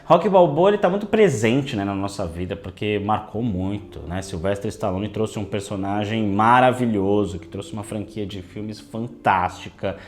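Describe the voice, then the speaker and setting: A man's voice: lowish voice, normal speed, few pauses. A man hosting a casual video lesson as a tarot teacher. His voice is a little off from dry air, and he keeps tagging his sentences with a questioning "né". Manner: chatty, tags many phrases with a questioning "né". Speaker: tarot teacher and channel host.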